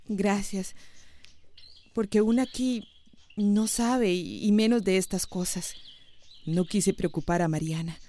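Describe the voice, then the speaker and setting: A humble, worried village woman with a mellow, mid-pitched voice. She speaks hesitantly, in radio soap opera style. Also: slow pace, long pauses. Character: worried, humble, grateful village wife and mother.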